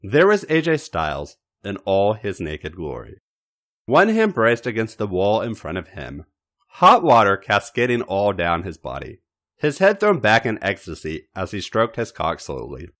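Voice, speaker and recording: Man, low voice, widely swinging intonation, dramatic story narration, theatrical emphasis, podcast reader. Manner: expressive, theatrical emphasis with pauses. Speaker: podcast host reading fan fiction.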